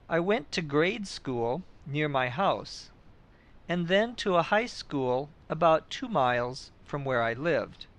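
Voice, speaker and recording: A middle-aged man from Minnesota hosting an English lesson podcast, speaking clearly and deliberately as he explains expressions. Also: a little high, fairly bright tone. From Minnesota, USA. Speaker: podcast host and English teacher. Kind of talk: scripted English lesson for learners.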